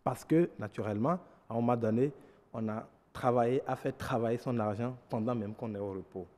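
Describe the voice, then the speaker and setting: A man's voice: mid-range voice, fairly quick, dark tone, big-room sound. Beninese man as motivational coach. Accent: Beninese French. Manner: emphatic, repeats "absolument". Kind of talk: talk show guest segment.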